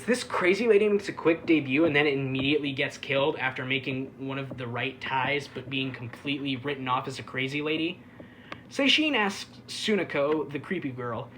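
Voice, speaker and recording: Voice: medium pitch, fairly bright tone, slight background noise. A reviewer giving a casual, sarcastic recap, full of offhand asides and swearing. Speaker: anime reviewer.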